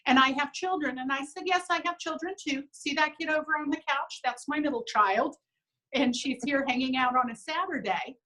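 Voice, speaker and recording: A woman's voice: high voice, normal speed, poor audio. A middle-aged woman talking as an interview guest over a video call, upbeat and earnest, often acting out what others said. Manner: upbeat, earnest, quotes others in lively voices. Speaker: business owner, interview guest. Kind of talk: casual conversational interview.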